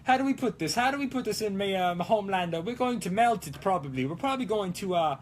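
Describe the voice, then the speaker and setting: A man doing a high, excitable cartoon Italian guy. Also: fast talker, slight background noise. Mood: playful, explaining. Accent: mock Italian. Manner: exclamatory, playful, sing-song broken English. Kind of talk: live-streamed prop-making vlog in a put-on accent.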